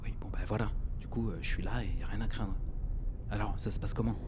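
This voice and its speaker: A man's voice: on the low side, steady tone, fast talker, background noise, close to an everyday voice. A man playing a cocky, reckless young schemer in a French audio drama, breezy, slangy and persuasive. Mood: hushed, flippant.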